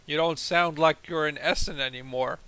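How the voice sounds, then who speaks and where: A man's voice: fairly high pitch, fairly even intonation, normal speed, sharp tone, smooth. A young man chatting casually and teasing his friend while explaining their factory in a game. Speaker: co-host playing a factory game. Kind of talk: casual chat over gameplay.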